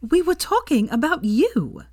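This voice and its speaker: A woman's voice: high voice, huge pitch swings, unhurried, darkish tone, dry recording, clean studio sound, voice pushed up, exaggerated voice. A woman voicing an indignant, outspoken young witch in a story, emphatic and pointed. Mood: exasperated.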